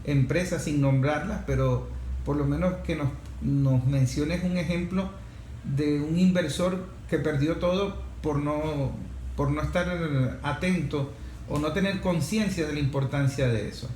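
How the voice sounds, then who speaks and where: A man with a Venezuelan accent hosts an interview in an echoey room with faint noise. His voice sits a little high, and he makes his points emphatically, repeating phrases as he goes. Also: a little slow, darkish tone. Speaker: interviewer, show host. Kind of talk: conversational interview, explaining and asking at length.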